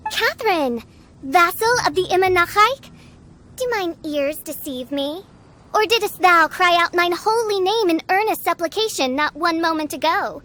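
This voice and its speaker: A woman's voice: extremely high pitch, lively intonation, moderate pace, bright, forceful tone, heavily acted. A woman playing a haughty young self-styled princess in a video game, declaiming pompously in archaic, theatrical speech. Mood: imperious. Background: music.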